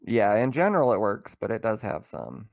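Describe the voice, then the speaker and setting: Gravelly, low-voiced man running a game. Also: huge pitch swings, normal speed, no room sound, studio quality. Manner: informal, explanatory. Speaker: game master. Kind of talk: casual tabletop roleplaying game session.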